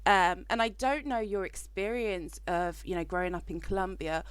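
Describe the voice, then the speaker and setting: A woman's voice: lowish voice, steady tone, moderate pace, clear, resonant tone, no room sound, poor audio. A woman from Africa hosting a podcast interview, speaking in a reflective, searching way that trails off mid-thought. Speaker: podcast host.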